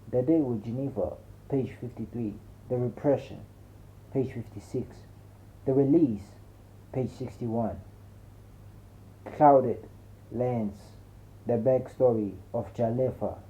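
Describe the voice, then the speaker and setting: A man's voice: medium pitch, mellow, slight background noise. A man narrating an audiobook in a dramatic, conversational first-person style with slangy, emphatic delivery. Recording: muffled narrow phone-like audio.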